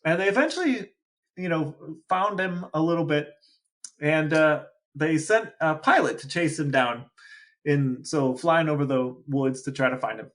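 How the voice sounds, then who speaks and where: A man co-hosting a podcast, chatting animatedly with frequent restarts and excited jumps in pitch. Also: normal speed. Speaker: podcast co-host. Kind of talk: casual chat.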